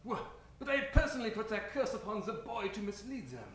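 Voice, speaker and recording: A scheming henchman , played by a man in an old radio melodrama, with a high, swooping delivery. His theatrical lines echo over faint music. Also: lively intonation, brisk, a bit bright. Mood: defensive. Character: scheming fez-headed male villain henchman. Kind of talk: old-time radio play acting. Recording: echoey stage, faint music behind.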